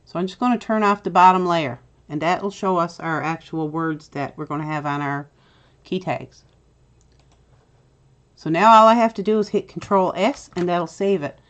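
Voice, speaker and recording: Newfoundland woman walking through software steps. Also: on the low side, steady tone, moderate pace. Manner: chatty, step-by-step with filler "uh"s. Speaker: tutorial host. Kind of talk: screen-recorded software tutorial.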